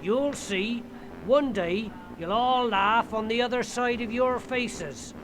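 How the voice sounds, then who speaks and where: High, slow-talking game workman, lowly, aggrieved and martyred, over clear background music. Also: poor audio. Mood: wounded. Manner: martyred, wounded politeness. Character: aggrieved, self-pitying, servile workman of lowly trade. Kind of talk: adventure game voice acting.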